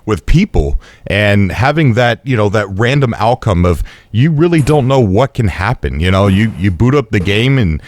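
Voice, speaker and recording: A man's voice: on the low side, animated, normal speed, hardly any pauses, dry recording. A man hosting a gaming interview show, eager and stumbling over his words. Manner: enthusiastic, stumbling restarts. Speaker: show host.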